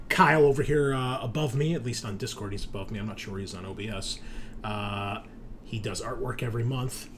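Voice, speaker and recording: A man hosting in a casual, chatty and joking way, as game master on an online call. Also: lowish voice. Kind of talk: casual podcast introduction. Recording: noticeable background noise.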